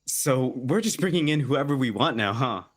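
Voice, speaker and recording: Guy doing a snooty suspicious clan tomcat in a cat roleplay, protesting, really swingy pitch. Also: animated, normal speed, slightly rough. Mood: scornful. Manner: scornful, protesting. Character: disdainful, suspicious young tomcat of a wild clan.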